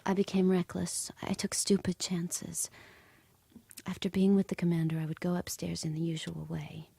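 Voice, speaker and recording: An actress playing a fearful, guarded captive handmaid with a hushed, breathy voice in an audio drama. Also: female voice, lowish voice, flat delivery, normal speed, no echo, heavily acted. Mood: intimate, tense. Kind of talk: audio drama acting and narration.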